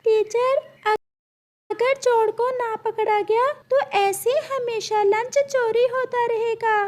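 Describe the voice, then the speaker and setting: A woman acts out a male parrot teacher from a kids' cartoon, pitched very high. He speaks slowly, with the firm, instructive care of someone running a classroom. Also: steady tone, a little mellow, a little hiss or hum. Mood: concerned, firm. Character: male parrot schoolteacher, firm, caring authority. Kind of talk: children's cartoon story voice.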